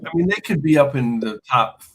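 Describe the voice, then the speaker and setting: A man's voice: medium pitch, expressive, fairly quick, slight background noise, poor audio. A man co-hosting a podcast, chatting casually about football, laughing often and trailing off mid-thought. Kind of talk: casual sports chat.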